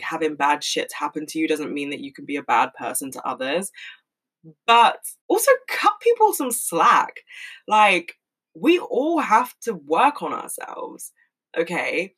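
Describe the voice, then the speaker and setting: A woman in her twenties talking casually and frankly, swearing a lot and rambling with many fillers, in a fairly monotonous voice. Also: low voice, husky, low-quality recording. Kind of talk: casual monologue.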